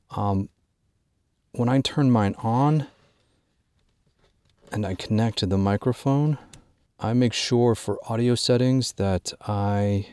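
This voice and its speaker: A man presenting an informal instructional product walkthrough, drawing out key words in a measured way for emphasis. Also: on the low side, a little mellow, clean tone, no echo, studio quality. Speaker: gear tutorial presenter.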